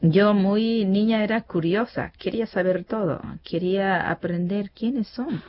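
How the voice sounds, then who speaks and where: A woman from the Ecuadorian Amazon, an activist guest, softly and hesitantly recalling her childhood. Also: mid-range voice, normal speed. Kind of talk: casual recollection. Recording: dull muffled audio.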